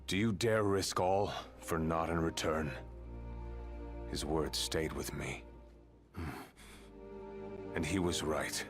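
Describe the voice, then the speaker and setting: An actor playing a seasoned, middle-aged male soldier who speaks in a measured, stern tone as a mentor. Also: rumbling low, steady tone. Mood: recounting. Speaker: video game character. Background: game score music.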